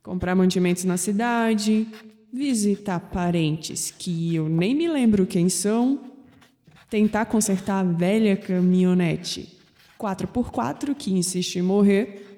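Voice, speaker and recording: A woman's voice: medium pitch, takes it slowly, mellow, long reverb tail. A young woman narrating an audio drama in a wry, understated way as its protagonist. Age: young adult.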